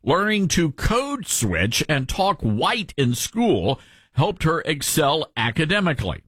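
A man's voice: fairly high pitch, big pitch swings, takes it slowly, fairly bright tone, husky, no room sound, clean studio sound. Southern radio guy w/ a light tennessee twang, bouncy up and down, reads the paper and cracks wry asides. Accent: mild Southern US, Tennessee. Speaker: radio host. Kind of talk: casual talk radio chat.

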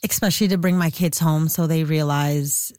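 Female radio guest, conversational answers, slightly low register, dry studio acoustic. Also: steady tone, normal speed, a little mellow, no echo.

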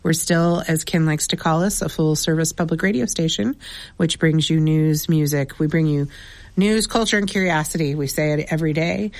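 A woman managing a radio station, making an earnest on-air pledge appeal with many fillers, her voice raspy as she loses it. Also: low voice, moderate pace, no echo. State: losing her voice.

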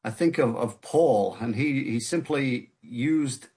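Soft-spoken man with many restarts. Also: medium pitch, slow. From United Kingdom. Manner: hesitant, many restarts and fillers. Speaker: guest author. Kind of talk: interview conversation.

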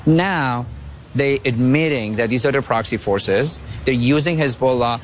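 A man's voice: medium pitch, unhurried, slight background noise. A man born and raised in Iran, a guest analyst answering questions in a radio interview with firm, emphatic points.

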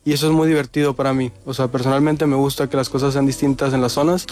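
A man's voice: mid-range voice, little pitch movement, fast talker, a little mellow. A man with a Mexican Spanish accent giving casual answers as an interview guest. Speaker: interview guest, restaurant founder.

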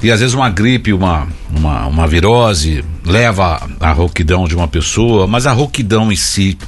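Man, co-host, very low voice, hesitant questioner, faint music bed. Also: expressive, moderate pace. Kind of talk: radio talk show co-hosting.

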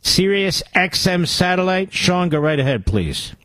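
A man's voice: sits high, big pitch swings, unhurried, fairly bright tone, no room sound. A man with an American accent hosting a political call-in radio show, speaking in an emphatic, blunt manner. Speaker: radio talk show host.

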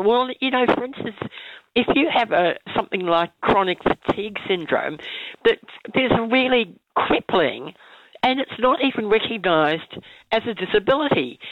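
A woman calling a talkback radio show, croaky from a cold, halting and earnest with many restarts. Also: fairly high pitch, muffled recording. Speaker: caller. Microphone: phone line.

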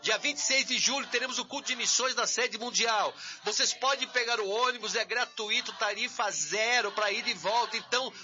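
A Brazilian male pastor makes an emphatic church announcement in a high, very piercing voice. He urges people on, repeating phrases for emphasis. The sound is a little dull, with soft music behind. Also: moderate pace, few pauses. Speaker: pastor and co-host.

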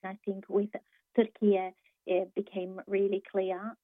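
A woman's voice: medium pitch, little pitch movement, no echo. Woman on a tinny phone line. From Argentina. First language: Spanish. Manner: thoughtful, pauses often to search for words. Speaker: university lecturer. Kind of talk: interview answers.

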